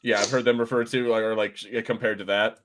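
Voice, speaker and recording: Halting, informal male podcast host. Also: fairly low pitch, flat delivery, fairly bright tone, slight background noise. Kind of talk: casual pop-culture discussion, explaining game lore.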